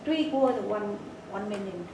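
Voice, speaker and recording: A woman's voice: medium pitch, lively intonation, unhurried, dark tone, slight background noise. Hesitant woman speaking from far off. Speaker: audience member translating. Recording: echoey room, from far off.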